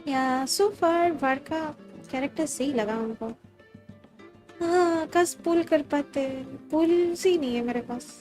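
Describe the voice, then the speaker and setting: A woman's voice: high-pitched, rapid-fire, darkish tone, faint music behind. A woman with an Indian accent giving casual live gaming commentary as a streamer, switching between Hindi and English. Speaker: game streamer.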